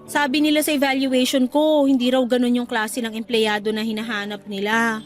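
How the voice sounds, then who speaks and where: A woman acting as an affectionate, encouraging young girlfriend in a radio drama, speaking in a coaxing, animated way. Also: fairly high pitch, brisk, faint music behind, natural voice. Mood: reassuring. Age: young adult. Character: supportive, upbeat young girlfriend cheering on her partner. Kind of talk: Tagalog radio drama dialogue.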